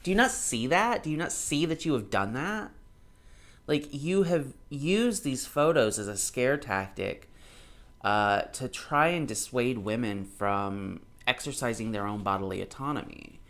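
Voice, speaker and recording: A man's voice: mid-range voice, lively intonation, measured pace, fairly bright tone. A man in his thirties giving casual commentary on a book, argumentative and emphatic, repeating himself to drive points home. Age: 30s. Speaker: commentator reading a book aloud and responding. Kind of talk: casual reaction commentary, alternating reading aloud and off-the-cuff response.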